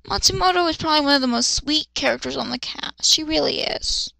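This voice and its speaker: A woman's voice: high voice, fairly quick, gravelly, bright, forceful tone, dry recording. A woman giving a dramatic reading with exasperated, sarcastic, foul-mouthed asides. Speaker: host of dramatic fan fiction readings.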